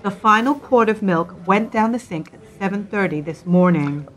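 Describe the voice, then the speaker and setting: A woman's voice: medium pitch, normal speed, natural voice, poor audio. A woman plays a polite, fussy female office secretary with a middling, everyday voice. She talks in a dutiful, slightly scatterbrained way, in the manner of a community radio play. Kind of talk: amateur radio play acting.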